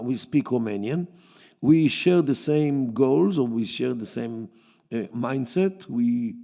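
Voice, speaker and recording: Yiddish-speaking guy giving a talk in a big echoey hall, gravelly voice, lots of uhs and restarts. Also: mid-range voice, warm tone. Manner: halting, many fillers and restarts. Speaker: guest lecturer. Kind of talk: public lecture. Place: synagogue hall. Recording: long hall reverb.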